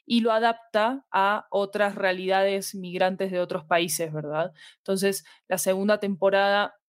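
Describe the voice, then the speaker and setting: A woman's voice: medium pitch, no room sound, studio quality. A woman giving conversational interview answers, explaining things and checking in with short tag questions. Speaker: podcast fiction director being interviewed.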